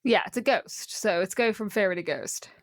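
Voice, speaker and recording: A woman's voice: medium pitch, normal speed, dry recording, studio quality. A woman talking casually about folklore and games, opinionated and given to rambling asides.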